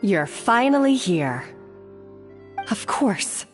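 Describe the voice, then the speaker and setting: A woman playing a shrewd, bitter woman in an anime game, measured and accusing. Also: mid-range voice, very animated, big-room sound, soft background music.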